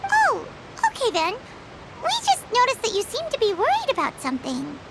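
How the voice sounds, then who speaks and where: A woman voicing a small, excitable, curious female fairy companion in a video game, with a squeaky childlike voice and manner. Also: moderate pace, heavy background noise, heavily acted. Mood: puzzled. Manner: childlike, refers to self in third person.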